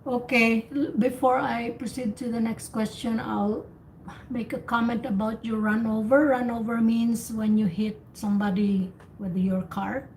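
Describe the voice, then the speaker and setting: A woman's voice: a little high, steady tone, measured pace, darkish tone, rough recording. A woman hosting an online English speaking practice, asking questions and giving simple explanations and corrections over a group call. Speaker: host and English speaking teacher. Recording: faint background noise on online call.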